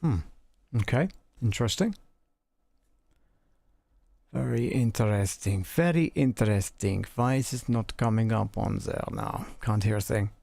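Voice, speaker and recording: Guy doing a casual live software demo, kinda mumbling to himself, croaky fry in his voice, really dry close audio. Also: fairly low pitch, normal speed, dry recording. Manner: muttering to himself while troubleshooting. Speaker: livestream host demonstrating audio software.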